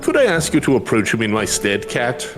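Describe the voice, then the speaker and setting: A man's voice: mid-range voice, very animated, brisk, over music, lightly acted. A man voicing an elderly scholar in a fantasy game, earnest and anxious, with formal, archaic and hesitant speech. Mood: tentative, persuasive. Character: elderly male scholar, courteous, earnest, anxious, devoted to research. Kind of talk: fantasy game dialogue read aloud.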